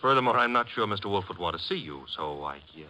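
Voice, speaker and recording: An American actor playing a glib, flirtatious private detective's assistant in a 1950s radio drama, with a teasing, wry delivery. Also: male voice, sits low, animated, quick pace, sharp tone, slight background noise, heavily acted.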